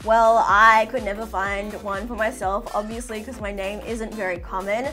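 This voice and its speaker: A woman's voice: medium pitch, fairly even intonation, moderate pace, music in the background. A young woman in her twenties chatting casually to camera in a bedroom, bubbly and full of fillers. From half Filipino. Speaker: beauty vlogger. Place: indoor bedroom with fairy lights.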